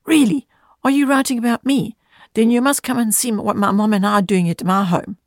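A woman's voice: on the high side, steady tone, fairly quick, dry recording, clean studio sound, pitched above the performer's own voice, natural voice. A woman voicing an earnest young boy in a storybook reading, talking in a pleading, emotional way. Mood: excited.

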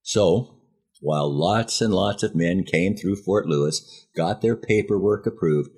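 American male in his 70s, low husky voice, wry storyteller recounting his own memories. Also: a little slow, darkish tone. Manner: wry, self-deprecating, dramatizes dialogue. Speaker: podcast host. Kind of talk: first-person memoir storytelling.

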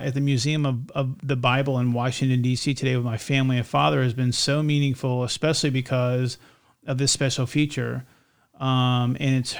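A man with a slight lisp, chatting casually as a podcast host in a halting way, often restarting his phrases. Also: medium pitch, clear, resonant tone. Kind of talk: casual co-hosted podcast chat.

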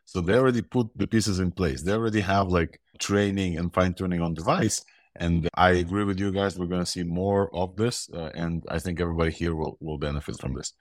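A man's voice: sits low, fairly even intonation, fairly quick, no room sound, clean studio sound. A man from Ukraine with a mixed Russian and Israeli accent, hosting a casual tech news talk with enthusiasm and rambling restarts. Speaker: podcast host.

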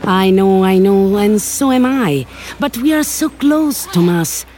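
A woman's voice: mid-range voice, expressive, measured pace, darkish tone, slight background noise, natural voice. An actress playing a determined woman scientist and explorer in an audio drama, urgent and insistent. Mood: pleading, excited. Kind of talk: immersive audio drama acting.

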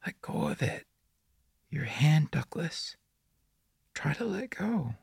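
A man voicing a creepy, sinister young boy of the woods in a breathy, coaxing whisper for an audiobook horror story. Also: a little high, huge pitch swings, slow pace, a little mellow, no room sound, studio quality, pitched above the performer's own voice, heavily acted. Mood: soft coaxing.

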